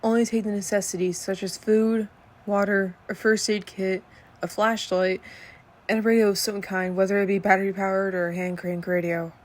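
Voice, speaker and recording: A woman's voice: medium pitch, level tone, moderate pace, natural voice. A woman playing a serious radio news reporter, giving a scripted report in a grave, matter-of-fact way. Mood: urgent.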